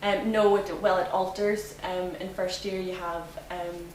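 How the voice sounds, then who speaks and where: A woman's voice: lowish voice, little pitch movement, unhurried, a little mellow, distant mic. A young woman from Northern Ireland with a Northern Irish accent, a student answering interview questions in a chatty way with many fillers. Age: young adult. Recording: echoey room, from afar, noisy.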